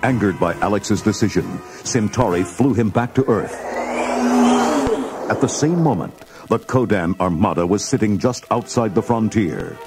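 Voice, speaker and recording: A man narrating a scripted children's read-along story in a dramatic, clearly phrased way. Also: fairly low pitch, animated, normal speed, music in the background.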